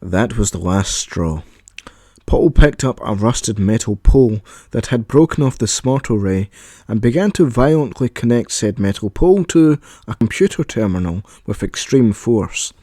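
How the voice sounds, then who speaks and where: A man's voice: lowish voice, animated, moderate pace, dark tone, dry recording, clean studio sound. A man reading scripted narration for an audio story.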